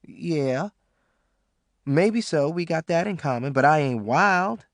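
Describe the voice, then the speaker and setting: Man voicing a fearless boastful matriarch, emphatic, raised pitch, lively. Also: slow pace, no room sound, clean studio sound. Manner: bold, emphatic dialect speech. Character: fearless tough older Southern woman, boastful.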